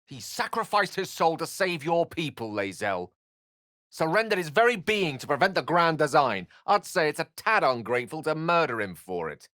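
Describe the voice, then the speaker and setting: Casting: learned, earnest fantasy game wizard; theatrical, wordy and formal delivery with animated pitch, recorded dry. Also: male voice, normal speed, no echo. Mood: indignant. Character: learned, courtly male human wizard, eloquent and earnest. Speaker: video game voice actor. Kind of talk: scripted fantasy game dialogue.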